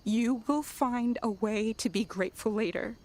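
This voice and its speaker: A middle-aged actress playing a caring, steady aviation wife in a period radio drama, gentle and reassuring. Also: female voice, fairly high pitch, moderate pace, lightly acted. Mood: reassuring, firm.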